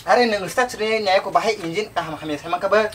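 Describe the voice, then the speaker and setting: A man's voice: sits high, fast talker, a bit bright. A young man with a Kokborok accent acting in an amateur short film as a villager who is chatting casually. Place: outdoor roadside shop shelter. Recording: noisy outdoor camera sound.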